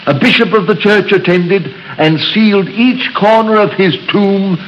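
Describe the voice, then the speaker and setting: An actor playing a solemn church elder who tells an old legend slowly and gravely, in a 1930s radio drama. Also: male voice, high voice. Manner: slow, solemn, measured storytelling. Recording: dull, noisy old radio recording.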